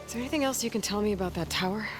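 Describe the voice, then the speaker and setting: A woman's voice: mid-range voice, rapid-fire, over music, lightly acted. A woman playing a self-assured young huntress, guarded and dryly practical, in a video game cutscene. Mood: calm, curious.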